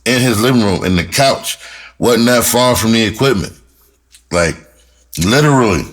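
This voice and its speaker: American guy, middle aged, real deep voice, just rambling casual stories w slang, repeats himself. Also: animated, normal speed. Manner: rambling, repeats phrases, chuckles while reminiscing. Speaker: music producer and storyteller. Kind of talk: casual storytelling monologue, slangy and profane.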